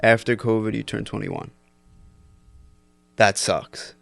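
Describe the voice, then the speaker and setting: A man's voice: lowish voice, little pitch movement, takes it slowly, creaky, fairly bright tone, dry recording. A young man in his twenties telling stories on a casual podcast, loose and laughing with lots of fillers. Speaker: podcast guest. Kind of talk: casual storytelling chat.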